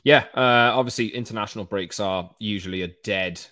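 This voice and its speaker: A Welsh man hosting a football podcast, talking in a quick, chatty, energetic way. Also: on the low side, no room sound, clean studio sound. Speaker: podcast host. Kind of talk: casual football podcast chat.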